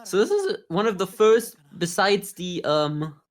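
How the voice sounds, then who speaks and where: A man's voice: high-pitched, sing-song, a little slow. A man giving casual, sarcastic, joking reaction commentary on an anime as a podcast co-host.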